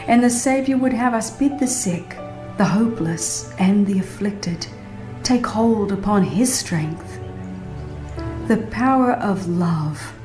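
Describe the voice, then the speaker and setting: A woman reading a religious book as a dramatized audiobook, with an earnest, measured and formal delivery. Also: medium pitch, a little mellow. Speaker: audiobook narrator. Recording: roomy reverb, faint background music. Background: faint music.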